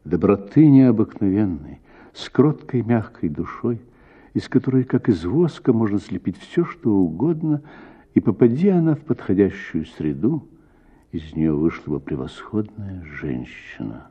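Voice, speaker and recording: A man reading a literary short story as an actor, with expressive, theatrical phrasing. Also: on the low side, a little slow, breathy edge, muffled recording. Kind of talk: expressive literary audiobook narration.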